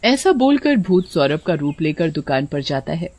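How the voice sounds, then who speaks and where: A woman's voice: low voice, very animated, moderate pace, darkish tone, no echo. Female cartoon narrator with dry sound. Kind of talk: scripted cartoon story narration.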